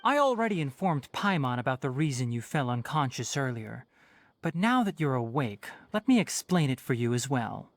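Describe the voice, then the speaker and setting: A man's voice: mid-range voice, big pitch swings, moderate pace, slight background noise. A man playing a stern but caring young male forest watcher in a video game, articulate, exasperated and dryly sarcastic. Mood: calm, explanatory.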